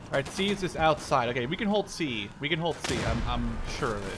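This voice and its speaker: A man in his twenties giving casual gameplay commentary, excitable and rambling, with frequent swearing. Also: medium pitch, a little fast. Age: 20s. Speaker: video game streamer. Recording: gunfire and game noise behind voice. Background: video game gunfire.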